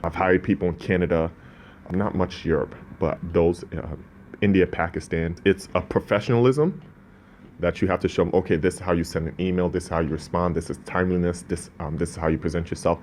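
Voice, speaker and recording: A man's voice: deep voice, steady tone, brisk, a little mellow, a little hiss or hum. A man born in Ghana, speaking as a business owner in an interview, earnest and prone to restarts. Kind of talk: casual interview talk.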